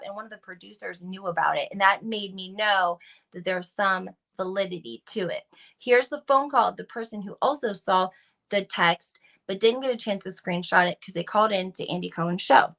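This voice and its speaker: Woman doing casual gossip commentary, lots of vocal fry, really leans on her points. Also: mid-range voice, pushed and bright. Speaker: reality TV gossip video host. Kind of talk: casual opinionated commentary to viewers.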